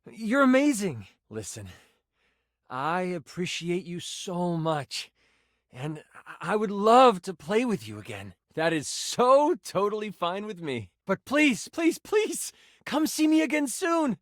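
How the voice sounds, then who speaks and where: Animated, nerdy, flustered game master. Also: male voice, on the high side, no echo, clean studio sound, full character voice. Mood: excited, grateful, then flustered, earnest. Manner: stammering, eager, gushing, self-conscious. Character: nerdy, earnest, easily flustered tabletop dice game master. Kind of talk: expressive video game voice acting.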